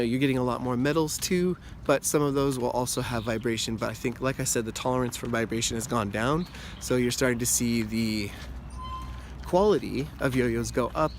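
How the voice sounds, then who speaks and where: A man hosting his own channel, talking casually to camera with a slightly hoarse voice from a cold, explaining things in a relaxed, rambling way. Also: medium pitch, slight background noise. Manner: relaxed, rambling explanation with frequent "you know" and "like". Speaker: yo-yo channel host.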